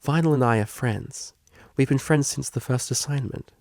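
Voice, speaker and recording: A man's voice: medium pitch, moderate pace, a little mellow, no echo, clean studio sound, pitched above the performer's own voice, lightly acted. A man voicing a prim, guarded young female pony student with a formal, hesitant manner in a story reading. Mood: hesitant, confessing.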